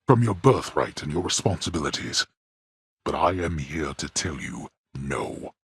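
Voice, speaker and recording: A grave, imperious lord of the dead speaks in a very deep, rough, husky voice. He lectures with cold, formal contempt and booms out commands, recorded dry and close in a game studio. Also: a bit bright, dry recording, exaggerated voice. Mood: contemptuous. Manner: cold, formal, commanding; bellows when summoning. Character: stern, imperious male god of the underworld, a father. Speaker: boss character in a video game.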